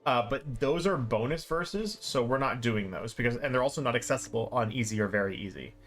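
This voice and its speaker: A man's voice: mid-range voice, lively intonation, a little fast, fairly bright tone. Casual man commentating a game, faint music. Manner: rambling, self-deprecating, informal. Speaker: streamer playing and explaining a game. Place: live stream with game music behind.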